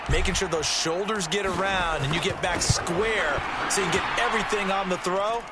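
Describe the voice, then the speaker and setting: A man's voice: sits high, moderate pace, lightly acted. A man acting as an American football color commentator, explaining in a conversational, folksy way. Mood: analytical. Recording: loud game stadium noise behind.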